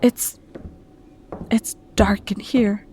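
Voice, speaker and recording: An actress playing a gentle, frightened young woman held captive in a 1940s-style radio suspense drama, hesitant and pleading. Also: medium pitch, flat delivery, extremely slow, slight background noise. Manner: hesitant, pleading, softly spoken.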